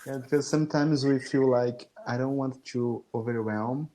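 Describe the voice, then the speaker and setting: A man in his twenties who is learning English, speaking slowly on a video call with frequent restarts and small laughs. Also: medium pitch, steady tone, dark tone, low-quality recording. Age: 20s. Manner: slow and halting, restarts often, laughs between phrases. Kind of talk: casual video-call chat. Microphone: video call headset or laptop mic.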